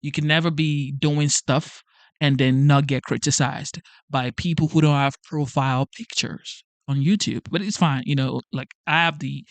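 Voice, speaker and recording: A man's voice: fairly high pitch, fairly even intonation, normal speed. A man with a somewhat raised voice delivers a motivational talk straight to camera. He urges and repeats himself like a preacher. The sound is close and dry, with no room echo. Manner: emphatic, repetitive, preachy pep talk. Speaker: channel host. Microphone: facing a camera.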